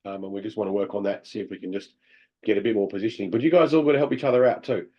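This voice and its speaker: A man coaching amateur hockey players over a call, talking through game footage in an animated way and laughing often. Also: lowish voice, very fast, highs cut off. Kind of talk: video review of game footage. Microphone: video call.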